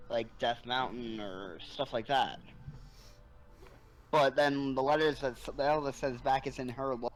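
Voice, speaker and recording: A young man chatting on a podcast, slow and drawn out. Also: mid-range voice, faint music behind, poor audio. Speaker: podcast guest, musician. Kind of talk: casual chat.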